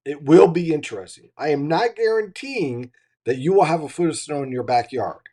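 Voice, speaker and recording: Man, weather host, explanatory, live chat, voice turning hoarse. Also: fairly high pitch, animated, normal speed, warm tone. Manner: explanatory, teacherly, asks "right?" often. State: losing his voice. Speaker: meteorologist hosting a live chat.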